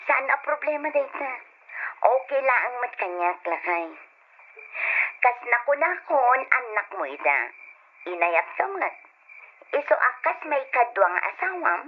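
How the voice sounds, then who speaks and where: A woman playing a coaxing, demanding wife in a radio drama, heard through a telephone filter. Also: fairly high pitch. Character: demanding wife smoothly asking her husband for money. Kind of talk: old-style radio drama acting. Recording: thin telephone-like sound, faint hiss.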